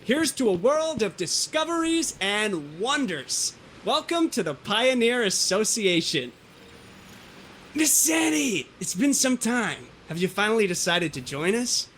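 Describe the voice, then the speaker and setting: A man's voice: high-pitched, big pitch swings, measured pace, a bit bright, a little hiss or hum, exaggerated voice. A man voicing a theatrical, effusive film studio head in a video game, speaking in a grandiloquent, dramatic way. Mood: welcoming.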